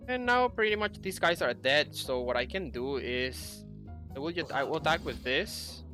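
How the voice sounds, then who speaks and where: A man's voice: a little high, lively intonation, normal speed, pushed and bright. A young man giving casual game commentary, explaining things and thinking aloud as he plays. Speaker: gaming YouTuber. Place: indoor room at a computer. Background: game music.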